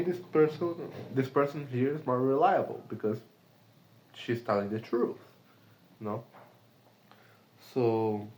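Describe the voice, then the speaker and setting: A man's voice: medium pitch, darkish tone, poor audio. A man from Brazil, a remote sales rep speaking English with a Brazilian Portuguese accent, rambling casually with lots of fillers and swearing. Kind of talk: casual vlog monologue.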